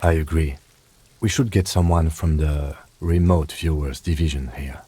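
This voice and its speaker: An actor playing a calm, dry, dutiful police lieutenant in a video game, speaking in a measured, formal way. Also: male voice, very deep voice, heavy background noise. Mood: calm, practical. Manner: measured, matter-of-fact, formal. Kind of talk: video game dialogue acting.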